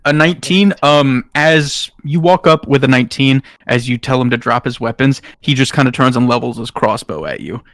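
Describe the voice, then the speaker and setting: A man's voice: mid-range voice, normal speed, few pauses, a bit bright, no room sound, studio quality. A man improvising narration as game master in a tabletop role-playing game, speaking directly to his players.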